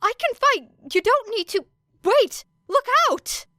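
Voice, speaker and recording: A woman's voice: animated, slow pace, bright, forceful tone, no echo, studio quality, full character voice. A woman voicing a nervous, earnest teenage boy who stammers out his words. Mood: anxious. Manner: anxious, stammering, high-strung.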